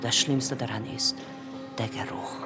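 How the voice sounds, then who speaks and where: Theatrical, slow-talking circus crocodile tamer. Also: female voice, extremely low pitch, music in the background. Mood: confiding, morbid. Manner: dramatic, drawn-out storytelling with dark deadpan asides. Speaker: actress. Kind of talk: radio play acting.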